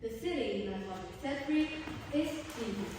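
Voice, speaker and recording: A woman playing a king's solemn daughter, narrating deliberately as a storyteller in reader's theatre. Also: on the high side, expressive, noisy background. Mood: measured. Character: tragic king's solemn daughter recounting his fate. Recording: distant echoey hall, faint music.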